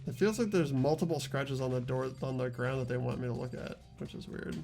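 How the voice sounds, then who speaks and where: A man's voice: mid-range voice, brisk, vocal fry, darkish tone, faint music behind. A man hosting a game playthrough in casual, wry chat with friends. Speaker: host of a voiced game playthrough. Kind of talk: casual chat while playing.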